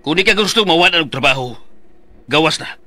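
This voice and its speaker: A man voicing a domineering, arrogant male office manager who barks orders loudly in a radio drama. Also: fairly high pitch, lively intonation, brisk, no echo. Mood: cold, commanding. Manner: loud, dismissive, commanding. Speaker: boss.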